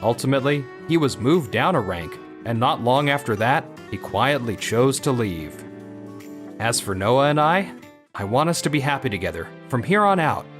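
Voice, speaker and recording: A man plays a modest young office guy from a manga dub. He is sincere and a little awkward, and his pitch moves around freely. Faint music sits behind him. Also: expressive, moderate pace, lightly acted. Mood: calm, content. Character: earnest, modest young office worker, newly engaged. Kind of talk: manga dub narration and dialogue.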